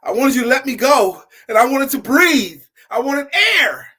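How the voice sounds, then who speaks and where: An earnest village boy of ten played by a male storyteller, his voice pitched well up. He pleads and stammers, repeating his words with indignant energy, like a child in an oral folk tale. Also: very animated, normal speed, pushed and bright, husky, exaggerated voice. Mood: pleading.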